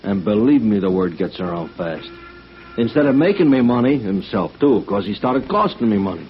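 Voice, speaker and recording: Blustering, shifty old-radio agent, muffled. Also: male voice, on the low side, big pitch swings, normal speed, soft background music. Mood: self-pitying. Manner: defensive, stammering, slangy. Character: shifty, blustering, self-pitying male talent agent. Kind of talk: 1950s radio noir acting. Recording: noisy band-limited vintage radio recording.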